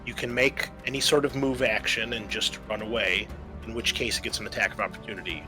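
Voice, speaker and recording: A man's voice: on the low side, fairly even intonation, a little fast, music in the background. A man acting as game master, casually narrating a tabletop roleplaying game and explaining rules in informal, sweary talk.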